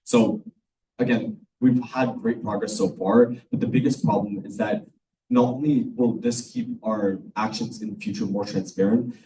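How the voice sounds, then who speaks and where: A man's voice: on the low side, warm tone. A young man, a first-year student, giving an earnest campaign speech at a student forum with many ums and uhs. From California. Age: young adult. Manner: earnest, filler-heavy public speaking. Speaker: student election candidate. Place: room at a public forum. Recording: voice from far off the mic.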